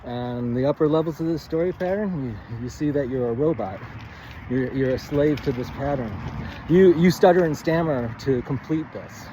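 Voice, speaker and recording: A man giving a rambling, informal lesson as a writing coach, thinking aloud in a halting, stammering way and often wandering off on tangents. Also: medium pitch, warm tone, a little hiss or hum, low-quality recording. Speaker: writing coach, presenter of a video series.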